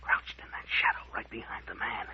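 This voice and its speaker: An actor playing a tough, commanding captain in an old-time radio mystery serial, giving brisk orders. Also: male voice, whispered, highs cut off, lightly acted. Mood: hushed, tense. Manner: brisk, bossy, clipped orders. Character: tough, commanding captain investigating eerie graveyard happenings. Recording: noisy, band-limited old radio recording.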